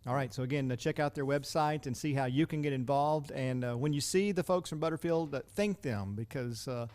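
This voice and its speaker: Fast-talking man hosting a podcast. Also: medium pitch, a little mellow. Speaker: podcast host. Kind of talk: casual interview chat.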